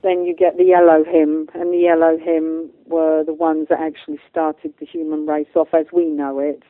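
A woman's voice: sits low, flat delivery, normal speed, dry recording. A woman guest explaining spiritual beliefs in an informal, rambling way, often checking that she is followed. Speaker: guest medium and channeller. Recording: narrow, phone-like line.

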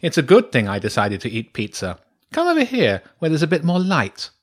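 A man's voice: a little high, huge pitch swings, brisk, pitched above the performer's own voice. A man voicing a haughty, eccentric female badger priestess in a children's audiobook, theatrical and exclamatory in manner. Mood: coaxing, amazed.

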